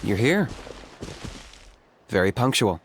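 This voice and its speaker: A man playing a cool, pragmatic young hunter in a video game, deadpan and matter-of-fact. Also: lowish voice, takes it slowly, noisy background, heavily acted. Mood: calm.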